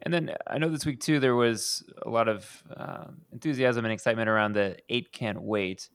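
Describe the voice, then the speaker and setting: A man's voice: mid-range voice, normal speed, a bit bright, clean tone, no room sound. A man hosting a podcast, upbeat and asking long questions. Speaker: podcast host. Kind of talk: podcast interview.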